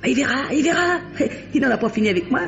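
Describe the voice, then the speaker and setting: A gossipy Parisian theatre usherette with a highish voice and a popular, colloquial turn of phrase. She grumbles, rambles and fawns on gentlefolk in an old radio drama, muffled, with music underneath. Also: animated, fast talker. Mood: indignant, gossipy. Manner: garrulous, colloquial popular French, searching for words. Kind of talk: 1950s French radio mystery acting. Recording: dull vintage radio recording with music.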